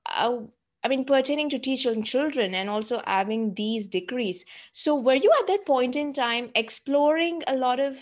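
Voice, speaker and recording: A woman interviewer with a somewhat high voice asks her questions in a hesitant way, slowing down and filling pauses with ums and uhs. She sounds like she is feeling her way through each question. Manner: hesitant, asks with many fillers. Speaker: interview host.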